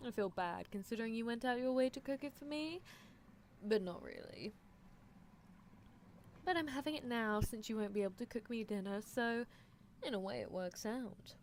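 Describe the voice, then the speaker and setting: A woman's voice: on the high side, lively intonation, normal speed, pauses often, a little mellow, slight background noise. A woman voicing a playfully menacing, teasing female mad scientist in a roleplay ASMR stream, musing theatrically. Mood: teasing, chatty. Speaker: livestreamer. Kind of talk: roleplay ASMR livestream, answering chat in character.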